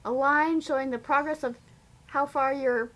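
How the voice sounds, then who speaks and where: A woman's voice: sits high, fairly even intonation, normal speed, a bit bright. A woman chatting casually and playfully as a guest co-host. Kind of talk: casual chat.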